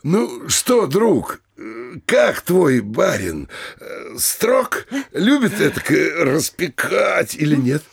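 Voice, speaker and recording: An actor playing a fussy, ingratiating provincial mayor in a classic Russian comedy audio play, wheedling repetitively and snapping irritably at interruptions. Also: male voice, a little high, sing-song, slow pace, creaky, slightly rough, exaggerated voice. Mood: ingratiating, coaxing. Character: fussy, anxious, ingratiating provincial mayor, a bullying older official.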